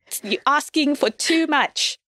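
A woman's voice: high-pitched, measured pace, dry recording. Chatty laughing woman, dry close sound. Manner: laughs often, animated storytelling. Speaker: guest, audio fiction writer. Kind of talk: casual interview chat.

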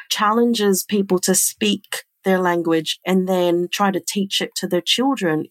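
A woman hosting a podcast, moving between scripted narration and interview questions in a calm, measured, earnest way. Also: medium pitch, gravelly. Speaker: podcast host.

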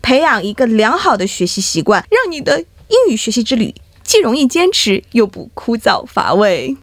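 Lively, high-voiced host woman. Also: moderate pace, dry recording. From China. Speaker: podcast host. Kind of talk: podcast hosting, bilingual.

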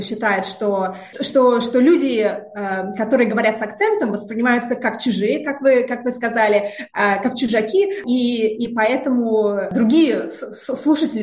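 A woman researcher answering interview questions. Her voice sits a little high. She talks in a hesitant way, with many filler sounds and repeated words, and the recording has a roomy echo. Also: a little mellow.

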